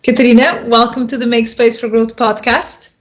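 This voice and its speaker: A woman hosting a podcast, reading a scripted intro in a measured, earnest way, then asking interview questions. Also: a little high, little pitch movement. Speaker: podcast host. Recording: dull lo-fi sound with faint music.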